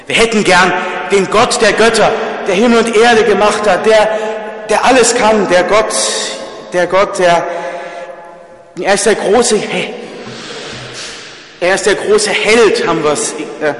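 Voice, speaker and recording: Earnest priest in an echoey church. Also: male voice, mid-range voice, a bit bright. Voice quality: mild stutter, repeats words. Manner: earnest, plain-spoken, rising to emphatic peaks. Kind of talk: sermon.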